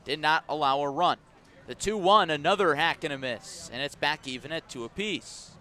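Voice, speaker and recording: A man calling baseball play-by-play live on the radio, measured in delivery and punchy on the action. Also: fairly high pitch, sharp tone. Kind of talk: live radio sports commentary. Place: outdoor ballpark.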